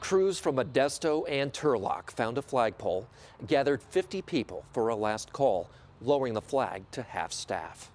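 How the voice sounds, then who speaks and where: A man's voice: medium pitch, very animated, normal speed, a little mellow, slight background noise. A man with an American accent reading scripted news as a television anchor.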